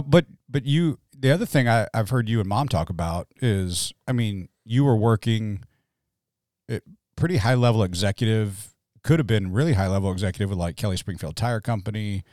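A pastor in his forties hosts a casual talk with a relaxed, joking manner. His voice sits low, and the sound is dry and close-miked. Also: normal speed, no echo. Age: 40s. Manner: chatty, joking, full of fillers. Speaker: pastor and podcast host. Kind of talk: casual podcast conversation.

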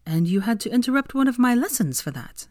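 A woman voicing an adult female teacher who is brisk and gleeful, with a high, emphatic delivery. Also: big pitch swings, no room sound, clean studio sound. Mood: wary.

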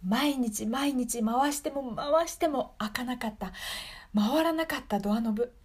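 A woman playing a trapped captive in an improvised radio drama, narrating an endless struggle in a slow, drawn-out, dramatic way. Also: fairly high pitch, darkish tone, breathy edge, pitched above the performer's own voice. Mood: weary, determined.

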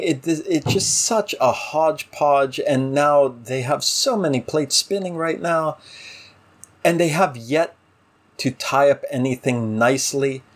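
A man co-hosting a casual discussion show, giving opinionated, exasperated critique in a conversational way. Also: on the high side. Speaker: podcast co-host.

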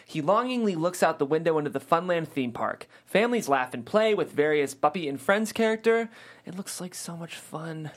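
A narrator reading screenplay stage directions aloud in an animated storytelling manner. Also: medium pitch, normal speed.

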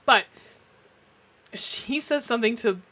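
A woman in her forties with a deep voice, co-hosting a casual movie recap, swearing and laughing often. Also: slow, sharp tone. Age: 40s. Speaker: podcast co-host.

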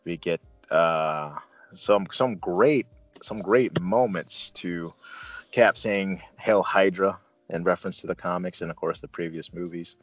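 A man talks through a casual film review as host, halting and rambling, with bursts of emphasis. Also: low voice, highs cut off, faint music behind. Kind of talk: casual movie review discussion.

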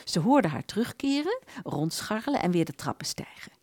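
Expressive woman narrator, dry close sound. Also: mid-range voice, brisk, dry recording. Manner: expressive storytelling. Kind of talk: literary radio play narration.

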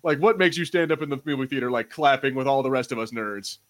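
Man, mid-pitched voice, podcast host, casual banter, informal, sweary. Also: quick pace. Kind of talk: casual pop-culture banter.

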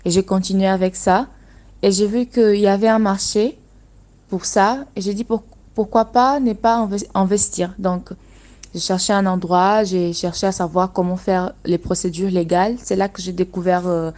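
A young woman from Angola, a native Portuguese speaker, talking earnestly about her work in a radio interview and often pausing to find her words. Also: mid-range voice, fairly even intonation, darkish tone. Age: young adult. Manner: earnest, searching for words with restarts. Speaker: guest, dressmaker running her own workshop.

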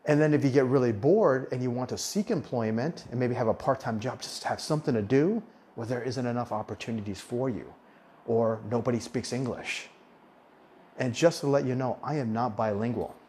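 A man's voice: medium pitch, fairly quick, a little mellow, slight background noise. A man in his forties hosting a podcast, talking casually in a monologue with frequent restarts and self-corrections. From United States. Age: 40s. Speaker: podcast host on living abroad.

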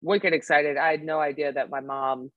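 A woman in her twenties with a notably low voice, chatting bluntly as a podcast co-host. She swears freely and gets excited about sports. The sound is dry and close, with no room echo. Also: normal speed, phone-quality sound. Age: 20s. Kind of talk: casual chat.

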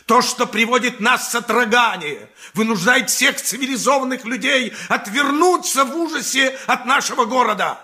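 An actor playing a solemn advocate addressing ancient judges, formal and oratorical in a theatrical radio play manner. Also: male voice, very high voice, pushed and bright, heavily acted.

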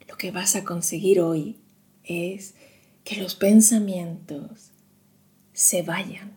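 A woman guiding a meditation in a soothing, hushed manner, leaving long pauses between short phrases. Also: on the low side, fairly even intonation. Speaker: meditation guide. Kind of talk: slow guided meditation narration.

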